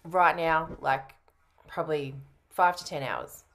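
A woman in her thirties with a low voice, talking casually on a video call. She plays the prospect in a sales roleplay, replying in an everyday conversational way. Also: takes it slowly, fairly bright tone. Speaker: coaching trainee playing a sales prospect. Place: home room by a window, on a video call.

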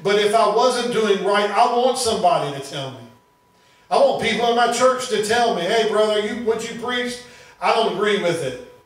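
A man's voice: sits high, moderate pace. A middle-aged man preaching as a pastor, teaching the Bible earnestly and often repeating and rephrasing his phrases. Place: small church room, indoors. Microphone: handheld microphone at a lectern. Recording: very echoey room, distant sound.

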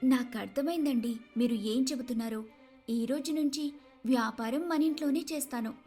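A woman plays a patient, forgiving daughter-in-law. Her voice is very high and earnest, acted for a moral story with music faintly behind. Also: moderate pace, mellow, natural voice. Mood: calm, accepting. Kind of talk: dramatized moral story voice acting.

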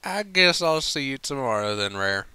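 A man's voice: medium pitch, big pitch swings, normal speed, pushed and bright, smooth, dry recording, pitched above the performer's own voice. A man voicing a blunt, tipsy female farm pony from a storybook, with folksy country phrasing. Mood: cocky.

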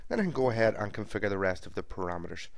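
A man with a fairly low voice walks through a software setup one step at a time, calm and methodical like a trainer. His narration is close to the microphone and sounds dry, with almost no room around it. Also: very fast, vocal fry, dry recording. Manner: steady, methodical step-by-step explanation. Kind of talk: screen-recorded software tutorial. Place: voice-over recorded for a screen capture.

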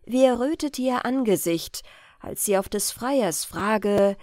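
Expressive woman reading a story aloud. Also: a little high, takes it slowly, no room sound, clean studio sound. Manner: expressive, carefully phrased read-aloud. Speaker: audiobook reader. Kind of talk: scripted storytelling narration of old family tale.

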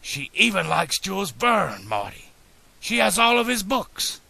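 A man's voice: mid-range voice, expressive, unhurried, gravelly, pushed and bright, no echo, heavily acted. A man voicing an eccentric, stubborn, passionate older inventor in an audiobook, speaking in a formal, declamatory way. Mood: lovestruck, firm. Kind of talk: audiobook character dialogue.

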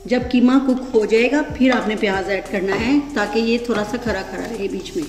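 A woman's voice: medium pitch, normal speed. Woman teaching a home cooking recipe. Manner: instructive, often ends with "theek hai". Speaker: cooking channel host. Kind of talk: step-by-step cooking tutorial. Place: home kitchen. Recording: echoey room with background music.

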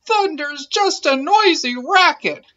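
A woman's voice: high voice, expressive, slow pace, a bit bright, lo-fi sound, voice pushed up, full character voice. A woman voicing a polite farm duck in a picture-book manner. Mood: loud bravado. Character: polite, hesitant farm duck seeking room.

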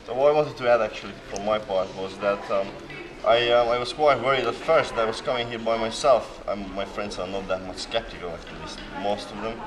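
A man's voice: fairly low pitch, brisk, low-quality recording. A man from Slovakia, a native Hungarian speaker, talking hesitantly with many fillers at a conference. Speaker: conference attendee. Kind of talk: casual conference testimonial. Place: large hotel hall at a conference. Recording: crowd chatter behind.

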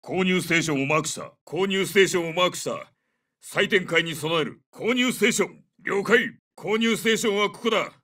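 A man playing a gruff, commanding special-forces soldier in a video game, giving clipped, authoritative military callouts. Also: sits high, a little fast, bright, forceful tone, recorded from a distance. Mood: focused, businesslike. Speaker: game character voice lines.

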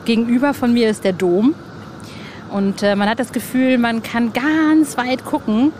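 A woman's voice: a little high, measured pace, darkish tone. A woman hosting a podcast interview in an informal, enthusiastic, chatty way. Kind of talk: casual, chatty podcast talk. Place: outdoor roof terrace. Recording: clear background noise, outdoor construction site. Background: construction and city noise.

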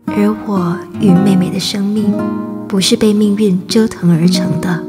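A woman's voice: mid-range voice, steady tone, measured pace, lightly acted. A woman acting an earnest, wistful teenage Vietnamese immigrant schoolgirl in a radio drama, both in dialogue and narration. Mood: wistful, sorrowful. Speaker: voice actor and narrator. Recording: music behind the voice.

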